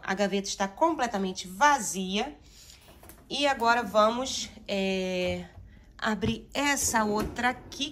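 A middle-aged woman, chatting casually to her viewers while organizing her kitchen drawers. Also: mid-range voice. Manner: chatty, addresses viewers as "gente", uses many diminutives. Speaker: home organizing vlogger. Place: home kitchen.

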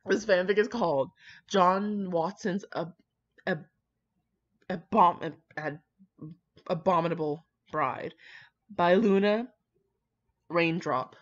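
A woman's voice: fairly low pitch, slow pace, long pauses. A woman rambling casually with dramatic asides, brash, slangy and full of swearing as she comments on fan fiction. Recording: dull lo-fi microphone.

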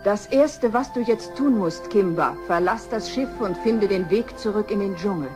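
A woman playing a gentle, brave lioness mother in a children's radio play, speaking tenderly and solemnly. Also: mid-range voice, moderate pace, music in the background, close to an everyday voice. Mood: firm, sad.

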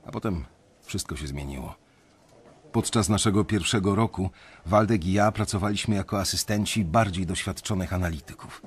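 A man's voice: low-pitched, moderate pace, husky. An actor playing a resentful young investment banker who tells his story bitterly in a radio drama. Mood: bitter recollection.

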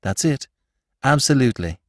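Male narrator as suave master thief, teasing, self-assured, articulate, expressive pitch, dry close recording, storybook character. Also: moderate pace, no echo, close to an everyday voice. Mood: amused.

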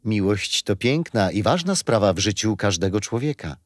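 A kindly old grandfather in a children's radio play, explaining things patiently with gentle storytelling. His intonation moves around in a lively way and the sound is close and dry. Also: lowish voice, normal speed, no room sound. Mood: affectionate, curious.

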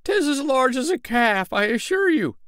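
A man voicing a boastful, easily frightened tinker in a storybook reading, shrill and excitable. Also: unhurried, no echo, studio quality. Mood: panicked.

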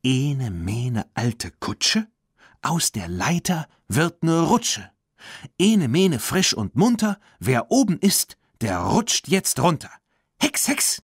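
A spirited young girl witch from a children's storybook, played by a male narrator. The voice is pitched up and swings about in lively melody. The manner is brave, kind and direct. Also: slow, husky, dry recording, studio quality, exaggerated voice. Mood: chanting a spell.

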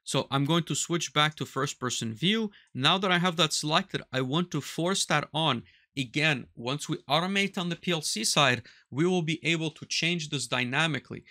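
Bright-voiced man explaining a tutorial. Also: on the high side, normal speed, few pauses, no echo, studio quality. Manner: explanatory, methodical, walks through steps aloud. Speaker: automation instructor. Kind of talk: screen-recorded software tutorial. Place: small workshop room with control panels. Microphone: headset mic.

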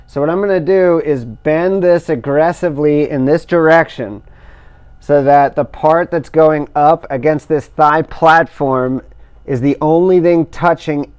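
Middle-aged guy explaining how to fit gear, careful and measured, clean voice a bit on the high side. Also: a little mellow, clear, resonant tone. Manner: careful, measured explaining while working with his hands. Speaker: instructor demonstrating kayak outfitting. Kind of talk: step-by-step how-to demonstration.

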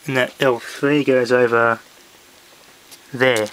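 A man's voice: medium pitch, flat delivery, slow, fairly bright tone. A man presenting a hands-on hobby demonstration casually, thinking aloud, recorded indoors on a handheld camera. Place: indoors in a home room. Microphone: handheld camera or phone. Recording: noisy handheld home video, clicks.

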